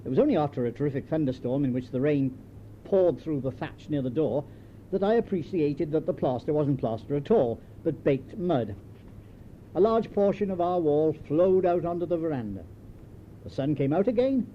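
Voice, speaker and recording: An older British man reads his written memoir in a slightly high voice. His storytelling is measured and dryly understated. It sounds like an old home tape, dull in tone with a noticeable rumbling noise behind him. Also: animated. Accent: British English. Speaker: memoir author reading his own writing. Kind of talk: scripted memoir narration.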